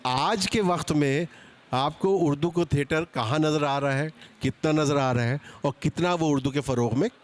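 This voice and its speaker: A man's voice: fairly high pitch, moderate pace. A man moderating a seminar in a conversational way, interjecting and putting questions to the panel. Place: seminar stage at a live event.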